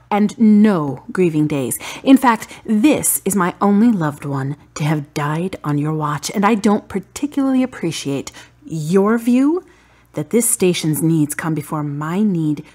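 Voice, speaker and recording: A woman acts out a grieving, strong-willed daughter for a radio play. Her voice sits low and has a husky grain. She speaks with feeling and stands her ground. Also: darkish tone. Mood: indignant, hurt. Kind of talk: radio drama acting.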